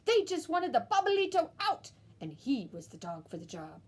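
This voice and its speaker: A woman teacher reading a picture book aloud to young children in a theatrical way, with hushed asides. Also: sits high, wildly swooping pitch, normal speed. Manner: theatrical, dropping into whispered asides to young listeners.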